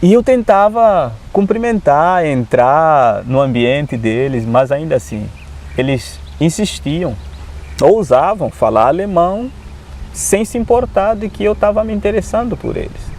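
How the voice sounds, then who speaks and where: Man, African accent, non-native speaker, lively testimony, slightly high voice. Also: unhurried, slight background noise. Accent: African-accented Portuguese. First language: not Portuguese. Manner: animated storyteller who often adds "né". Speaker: Adventist preacher, guest. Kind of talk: personal testimony in conversation.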